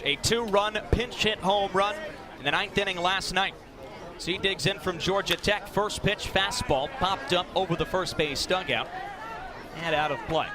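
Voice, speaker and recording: A young man calling live sports play-by-play for radio in crisp, clipped announcer phrasing. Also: high-pitched. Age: young adult. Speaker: play-by-play broadcaster. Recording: live sports broadcast with venue background noise.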